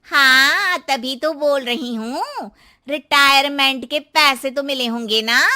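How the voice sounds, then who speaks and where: A woman's voice: high-pitched, slow, sharp tone, pitched above the performer's own voice, full character voice. A woman voicing a greedy, bullying middle-aged mother-in-law in a cartoon moral story, scolding and sarcastic as she makes demands. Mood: demanding.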